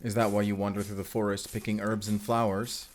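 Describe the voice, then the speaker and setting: An actor playing a wary, blunt young Spanish vampire slayer in an audio roleplay drama. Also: male voice, on the low side, little pitch movement, brisk, close to an everyday voice. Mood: suspicious. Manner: blunt, guarded. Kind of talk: cinematic audio roleplay acting.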